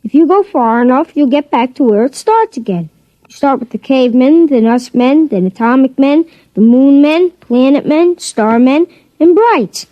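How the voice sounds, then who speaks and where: A woman's voice: high-pitched, expressive, moderate pace, dry recording, dull audio. A woman voicing an earnest six-year-old boy who patiently explains things, in a 1950s radio drama.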